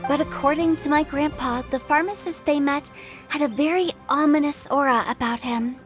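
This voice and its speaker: A woman acts out a shrewd, mischievous young funeral director in a video game. Her voice sits high and she talks in a playful, conspiratorial way. Faint music hums under her. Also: steady tone, normal speed, lightly acted. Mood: scheming.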